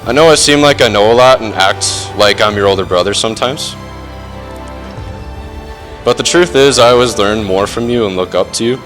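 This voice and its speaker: A young man with a fairly low voice gives a prepared but informal best man speech. His delivery is halting and dryly self-deprecating, with faint music in the background. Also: a bit bright. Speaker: best man, groom's older brother. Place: wedding reception, speaking to guests.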